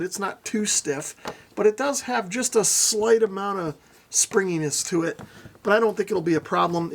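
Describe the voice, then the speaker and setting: A man's voice: on the high side, creaky. A middle-aged man narrating a casual hands-on product demo, explaining things methodically and without hurry. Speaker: radio reviewer, presenter.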